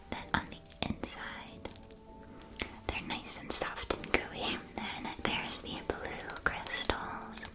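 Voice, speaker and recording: A woman playing a friendly, sweet magical candy shop keeper in a whispered ASMR roleplay, with a soft, unhurried and gently enthusiastic manner. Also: mid-range voice, lightly acted, rough recording.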